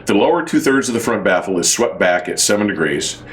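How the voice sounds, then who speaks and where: Male reviewer, low register, formal and measured delivery, semi-scripted monologue, audible room noise behind the voice. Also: very animated. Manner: formal, wordy, measured phrasing. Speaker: audio reviewer and show host.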